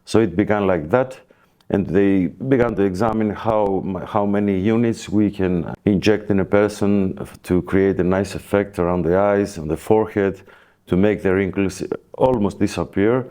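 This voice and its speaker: A man with a Greek accent explaining things as a guest expert in a podcast interview, in halting, hesitant phrases. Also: deep voice, dark tone. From Greece. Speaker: plastic surgeon, guest expert.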